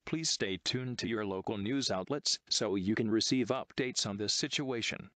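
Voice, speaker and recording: A synthetic male text-to-speech voice reading a scripted emergency broadcast announcement in a formal, impersonal, measured manner. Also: on the low side, very noisy.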